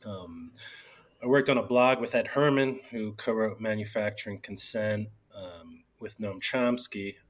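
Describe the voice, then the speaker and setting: A man's voice: lowish voice, a little slow. A middle-aged man from Pennsylvania giving a rambling account of his journalism career in an interview, full of fillers and restarts. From Bucks County, Pennsylvania. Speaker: newspaper editor, guest. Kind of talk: casual interview talk.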